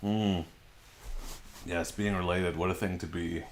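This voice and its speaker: A man in his forties with a lowish voice hosts a relaxed livestream from his garage. He chats easily and reads out viewer comments as he answers them, close to a desk microphone. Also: unhurried, a little hiss or hum. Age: 40s. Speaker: livestream host. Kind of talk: casual livestream chat. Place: home garage.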